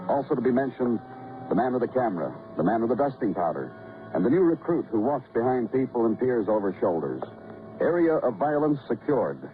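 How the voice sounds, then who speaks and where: An actor playing a world-weary police detective in a radio noir drama, narrating poetically in clipped, dramatic phrases. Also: male voice, mid-range voice, narrow, tinny audio, lightly acted. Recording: old band-limited radio recording with music.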